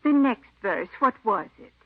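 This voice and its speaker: An actress playing a folksy, forgetful, kindly elderly aunt who rambles in an old radio drama. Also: female voice, fairly high pitch, lively intonation, a little slow. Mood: proud, doting. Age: older. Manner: rambling, folksy rural phrasing. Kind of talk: 1950s radio drama acting. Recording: dull old radio recording.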